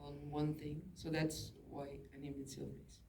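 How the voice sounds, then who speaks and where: A woman's voice: sits low, level tone, vocal fry, dark tone, slight background noise. A woman from the Netherlands, a film director, answering audience questions while searching for her words. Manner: slow, searching for words, laughs easily. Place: large cinema auditorium, on stage. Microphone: handheld mic through the hall speakers, filmed from the audience.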